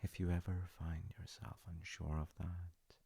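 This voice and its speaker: A man playing a gentle, doting dad with dry humor in an intimate comfort audio roleplay, musing softly and haltingly in a husky murmur. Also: deep voice, level tone, heavily acted. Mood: tender, reassuring. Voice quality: gravelly, husky, often dropping to a breathy murmur. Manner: slow, soft-spoken, halting, musing. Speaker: voice actor.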